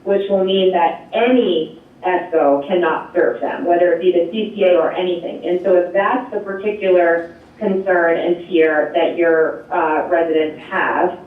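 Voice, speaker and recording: A woman presenting a program at a board meeting, explaining and answering questions with a loud voice, many fillers and light laughs. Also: lowish voice, steady tone, unhurried. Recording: echoey, distant, tinny phone-like line.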